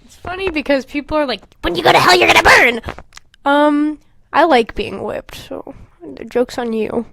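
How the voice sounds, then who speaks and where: High-voiced young woman, sweary and animated. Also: moderate pace, vocal fry. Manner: animated, sweary, self-mocking asides. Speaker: podcast co-host. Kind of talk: casual podcast chat, reading old fan fiction aloud with mocking commentary.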